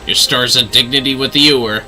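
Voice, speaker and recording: A man's voice: medium pitch, fairly even intonation, a little fast, pushed and bright, faint music behind, low-quality recording. A man livestreaming a video game with casual running commentary.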